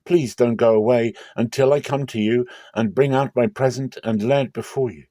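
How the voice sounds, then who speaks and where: A man's voice: medium pitch, fairly even intonation, moderate pace, warm tone, no echo, pitched above the performer's own voice, natural voice. Man voicing a humble, pleading biblical hero. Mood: anxious pleading. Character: Gideon, anxious humble man pleading with God. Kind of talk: dramatic scripture reading.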